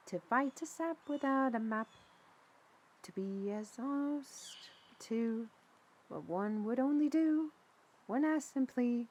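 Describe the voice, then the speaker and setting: A woman's voice: a little high, a little mellow, breathy edge. A woman playing a seasoned, frank mentor, plain-spoken, in a fantasy audio drama. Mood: ominous recital.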